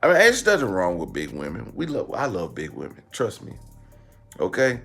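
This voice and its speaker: American man, online commentary host, gravelly creak, slangy, blunt and joking, leans on repetition. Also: on the low side, normal speed, soft background music. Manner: animated, repeats phrases for emphasis. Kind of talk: casual comedic commentary.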